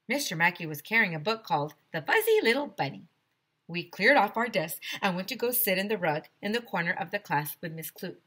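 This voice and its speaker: A woman's voice: medium pitch, big pitch swings, fairly quick, a bit bright, husky. A woman teacher reading a children's story aloud expressively, with chatty asides to young listeners.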